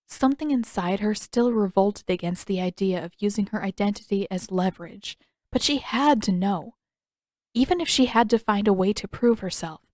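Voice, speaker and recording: A woman's voice: medium pitch, a little mellow, no room sound. A woman reading scripted fiction as an audiobook narrator, recorded close in a dry space.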